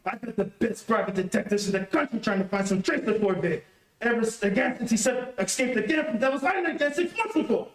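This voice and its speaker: Radio mystery convict male actor: sneering, callous, very high gravelly voice, roomy sound. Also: fast talker. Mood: scornful. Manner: sneering, dismissive. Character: scornful, skeptical male escaped convict, nervy and callous. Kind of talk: old-time radio mystery acting. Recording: roomy, distant amateur stream audio.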